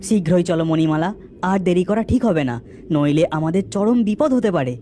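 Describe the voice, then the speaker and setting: A woman's voice: lowish voice, fairly quick, dark tone, lightly acted. Woman voicing a earnest young prince. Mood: urgent. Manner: earnest, urging. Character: young prince, brave and hurried. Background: background music.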